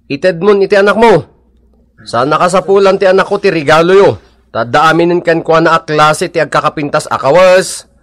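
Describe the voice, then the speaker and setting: A man's voice: fairly high pitch, normal speed, a bit bright, no room sound, close to an everyday voice. An actor playing a boastful newly rich father in a radio drama, with a northern Philippine accent.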